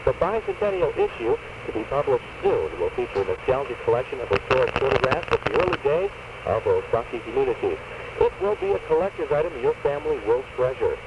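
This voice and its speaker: A male country radio DJ delivers patter and promos with very lively intonation. The sound is distant and muffled, with clear noise, like AM radio taped on cassette. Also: medium pitch, fairly quick. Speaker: country music radio disc jockey.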